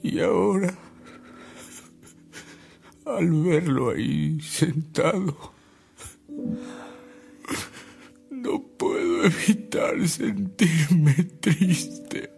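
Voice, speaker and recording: A man's voice: on the high side, extremely slow, creaky, a little mellow, exaggerated voice. An actor playing a tired, devoted man reminiscing with solemn emotion in a radio drama. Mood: moved, sorrowful. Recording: music behind the voice.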